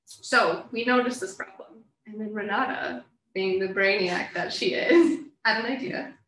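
A woman's voice: medium pitch, unhurried, fairly bright tone. A young woman from Canada, a co-founder giving a casual, chatty talk to students. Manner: chatty, laughs often. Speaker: guest speaker, co-founder. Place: university classroom. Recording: echoey classroom, mic from afar.

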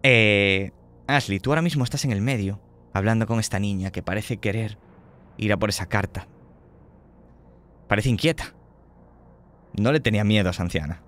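Low-voiced Spanish game master, dramatic. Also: male voice, normal speed, long pauses, fairly bright tone. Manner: dramatic, vivid scene-setting. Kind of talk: narrating a tabletop horror game.

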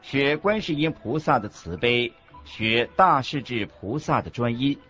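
A man playing a Buddhist monk teacher in a scripted radio lecture, husky, expressive and didactic. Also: medium pitch, measured pace. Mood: earnest teaching. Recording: dull lo-fi recording with faint music.

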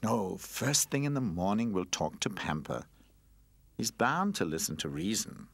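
Casual: calm sensible cushion toy, quiet hushed voice, some guy doing it. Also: on the low side, a little slow, gravelly, breathy edge, dry recording, full character voice.

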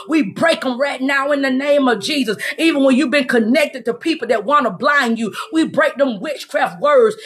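A woman's voice: sits high, a bit bright. A middle-aged American woman preaching a fervent deliverance prayer, urgent and commanding, repeating her calls as she goes. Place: indoors, room at home. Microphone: phone or webcam at arm's length.

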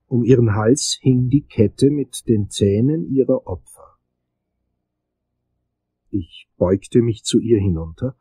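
A hard-boiled male detective narrating a noir crime drama. His voice is lowish and dark, moving theatrically up and down. The delivery is deliberate and suspense-laden. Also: husky, no room sound, exaggerated voice. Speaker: narrator and lead actor.

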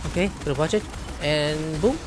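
A man's voice: a little high, moderate pace. A man giving casual, playful playthrough commentary on a video game, reacting aloud as he goes. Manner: playful, reacts aloud, reads dialogue in character. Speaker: gaming streamer. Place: indoor room, game audio behind. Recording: faint game music behind voice.

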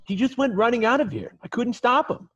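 A man acting a dim, excitable male fashion model, earnest and easily frustrated, in an exaggerated, dramatic read over a video call. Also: very high voice, a little fast, heavily acted. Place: home, remote video call. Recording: dull, lo-fi video call audio.

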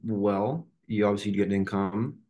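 A man's voice: fairly low pitch, unhurried, warm tone. A young man on a video call, a student tentatively reasoning aloud through practice exam questions. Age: young adult. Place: indoor room on a video call.

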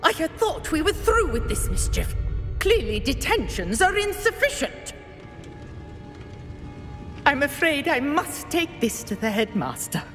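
Game casting: severe female librarian, high, drawn-out reprimands, cavernous reverb. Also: sing-song, a bit bright, faint music behind, full character voice. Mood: scolding. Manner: stern, deliberate. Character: stern, scolding female school librarian. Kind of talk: dramatic game cutscene dialogue. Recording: long hall-like reverb.

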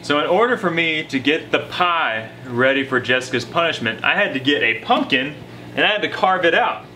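Young man teasing playfully, lively pitch. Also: normal speed. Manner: playful teasing, trash talk. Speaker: fishing vlogger, co-host. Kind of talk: casual on-camera vlog chat. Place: outdoors by a lake, then inside a house. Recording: noisy camera audio.

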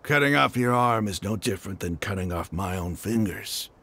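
An actor playing a cocky, hardened mercenary leader in a video game, taunting and deliberate in his delivery. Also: lowish voice, expressive, fairly bright tone, breathy edge, full character voice. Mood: grudging respect.

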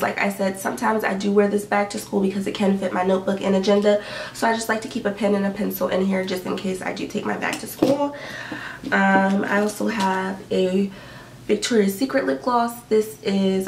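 A young woman talks to her camera from her bedroom, upbeat and rambling with plenty of ums. Her voice has a gravelly fry and sounds stuffy from allergies. The small room echoes noticeably, and the mic sits a bit away from her. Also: mid-range voice, noisy background. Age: young adult. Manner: chatty, upbeat, lots of likes and ums. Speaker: beauty and fashion vlogger. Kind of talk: casual what's-in-my-bag chat. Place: bedroom indoors. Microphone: camera in front at desk distance. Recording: roomy bedroom echo, mic a bit far.

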